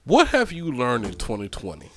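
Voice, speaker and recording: A man in his thirties hosting a casual podcast, bantering with guests in loud, laughing, profane talk. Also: medium pitch, wildly swooping pitch, normal speed. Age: 30s. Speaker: podcast host.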